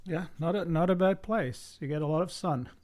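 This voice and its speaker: Playful male podcast co-host, somewhat high. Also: moderate pace, darkish tone. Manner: playful, joking. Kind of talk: casual interview chat.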